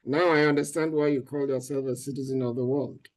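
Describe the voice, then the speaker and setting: A man's voice: mid-range voice, fairly even intonation, brisk. A man hosting an interview show over a video call, giving encouraging, repetitive prompts to his guest and laughing heartily. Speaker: podcast host.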